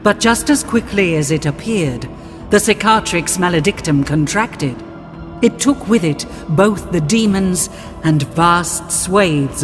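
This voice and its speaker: A woman playing a solemn chronicler, narrating an epic game cutscene in a grave, deliberate manner. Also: on the low side. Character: solemn storyteller chronicling a grim far-future war. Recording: reverberant hall, loud music behind.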